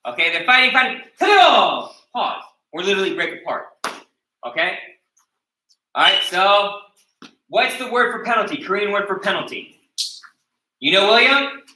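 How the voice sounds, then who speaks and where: A high, very bright American male voice leads a martial arts class, questioning students and checking that each point landed. He is picked up from a distance in an echoey training hall. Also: very animated, moderate pace, pauses often. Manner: instructive, checks in with "okay?" after each point. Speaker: taekwondo instructor. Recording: echoey room recorded from a distance.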